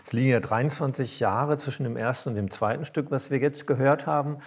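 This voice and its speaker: A man moderates an on-stage concert interview. His voice is husky, with a lot of creak in it. He speaks haltingly, often restarting as he looks for the right phrase. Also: mid-range voice. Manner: halting, searching for words.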